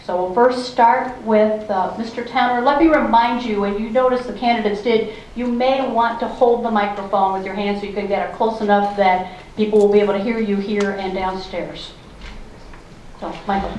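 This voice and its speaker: A woman moderator works through the forum rules and speaking order, halting often with ums and uhs. Her voice rings through a very echoey hall, with faint room noise underneath. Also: mid-range voice, fairly even intonation, a little mellow. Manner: halting, procedural, fills pauses with um and uh. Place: community meeting room with an audience.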